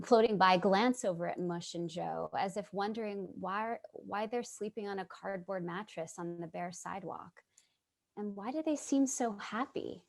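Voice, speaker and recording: Woman playing a slow, reflective middle-aged New Yorker. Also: medium pitch. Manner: measured, reflective, storytelling. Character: casually dressed middle-aged woman, born-and-bred Manhattanite narrator, compassionate. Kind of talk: dramatic monologue in a staged play reading. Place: at home on a video call.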